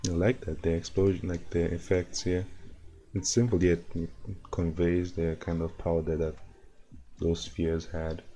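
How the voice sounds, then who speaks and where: A man streaming from home, hoarse and worn out from no sleep, chatting loosely and chuckling as he reacts to animations. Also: sits low, level tone, a little slow, mellow, a little hiss or hum. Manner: laid-back, rambling, chuckles often. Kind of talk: casual livestream chat and reactions.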